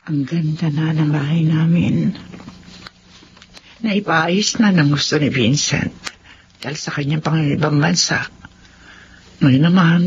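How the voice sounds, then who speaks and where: An actress playing a blunt, expressive older Filipino grandmother in a Tagalog radio drama. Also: deep voice, a little hiss or hum. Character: blunt elderly mother, careless grandmother with a guilty streak. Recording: muffled radio drama audio with music.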